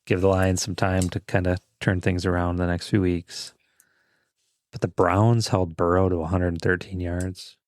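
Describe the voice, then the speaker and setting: A man's voice: low-pitched, little pitch movement, normal speed, dry recording, studio quality. A man co-hosting a podcast, chatting casually about sports in short, loose, conversational phrases. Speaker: podcast co-host.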